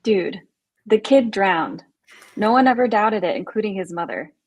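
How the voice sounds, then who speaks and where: A husky young female camp counselor, acted by a woman in a horror script read. She is sardonic and skeptical, in a mid-range voice with a rough edge. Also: medium pitch, expressive, normal speed, dull audio, lightly acted. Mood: exasperated.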